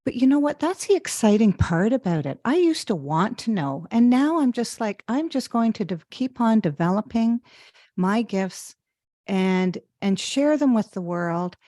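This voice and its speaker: A woman's voice: mid-range voice, sing-song, unhurried, dark tone, no echo, clean studio sound. A woman hosting an interview show, enthusiastic and affirming toward her guest. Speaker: show host. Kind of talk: conversational interview.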